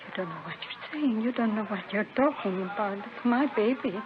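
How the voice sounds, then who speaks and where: An actress playing a desperate, insistent wife in a 1950s radio drama, on an old noisy recording. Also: female voice, medium pitch, lively intonation, moderate pace, phone-quality sound, close to an everyday voice. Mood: insistent denial. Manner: pleading, insistent denials in short phrases. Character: desperate young wife clinging to a stolen baby.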